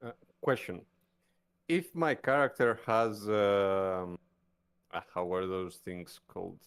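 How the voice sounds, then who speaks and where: Gravelly low guy playing a tabletop game, kinda hesitant, hunting for words. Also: steady tone, takes it slowly. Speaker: player in a tabletop roleplaying game. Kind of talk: casual game-table chat.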